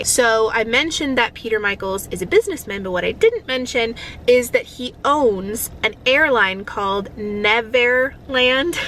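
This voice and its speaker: A woman giving a casual, chatty book review in an expressive, opinionated way, recorded inside a car. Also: medium pitch.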